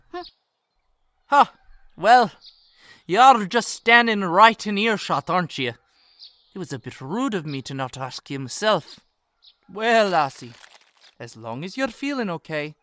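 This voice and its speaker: Guy doing a high bright ish shopkeep, folksy, calls ya lassie. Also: expressive, a little slow, long pauses, dry recording. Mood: kindly.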